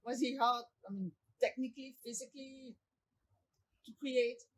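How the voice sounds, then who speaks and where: Belgian lady, photographer, kinda husky, asks long rambly questions, a bit high. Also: moderate pace, low-quality recording. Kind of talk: casual interview chat. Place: library exhibit space.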